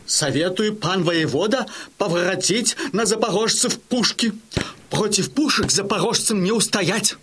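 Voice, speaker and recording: An actor playing a superior, opinionated French military engineer in a Russian audio drama, deliberate and exclamatory. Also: male voice, high voice, a bit bright, a little hiss or hum. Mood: advising. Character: cool, admiring French military engineer, critical expert.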